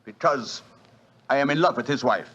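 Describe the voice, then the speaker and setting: An actor playing a suave, fatalistic casino manager in a 1940s radio drama. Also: male voice, mid-range voice, normal speed, a bit bright, close to an everyday voice. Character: suave French casino manager, cool gambler. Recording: dull old radio broadcast.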